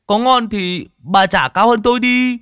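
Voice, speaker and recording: A man's voice: high-pitched, takes it slowly, fairly bright tone, clear, resonant tone, no room sound, studio quality, voice pushed up. A man voicing a brash, greedy young male sidekick in an audiobook story, cheeky and boastful. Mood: cheeky taunting.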